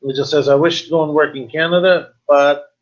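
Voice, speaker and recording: Halting middle-aged man, dull audio. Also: on the high side, fairly even intonation. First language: not Spanish, though speaking it at times. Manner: halting, informal, switching between English and Spanish. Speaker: webinar host. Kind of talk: casual webinar talk, reading and answering viewer questions. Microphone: video call, computer microphone. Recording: slightly dull video-call audio.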